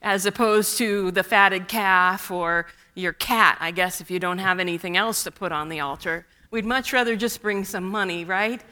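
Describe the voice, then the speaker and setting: A woman pastor giving a sermon, unhurried and measured, with wry asides, speaking English as a second language after Russian. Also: mid-range voice, pushed and bright, smooth.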